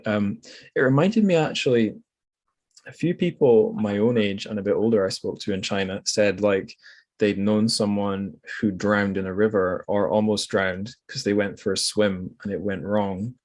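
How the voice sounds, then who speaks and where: A man's voice: on the low side, steady tone, moderate pace, darkish tone. A man hosting a casual podcast discussion about books, speaking reflectively and hesitantly, often correcting himself as he goes. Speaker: podcast host.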